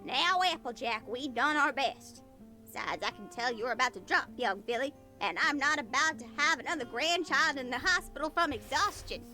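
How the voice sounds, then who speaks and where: A woman's voice: high-pitched, lively intonation, moderate pace, bright, forceful tone, faint music behind, pitched above the performer's own voice. A woman voicing a caring, scolding elderly grandmother pony, in a story reading. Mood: worried, scolding.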